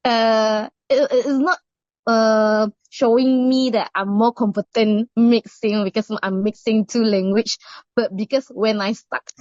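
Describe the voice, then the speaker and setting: A woman's voice: on the high side, fairly even intonation, measured pace, darkish tone, dry recording. A middle-aged Indonesian woman with an Indonesian accent, answering interview questions hesitantly with many fillers and restarts. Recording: muffled dry recording.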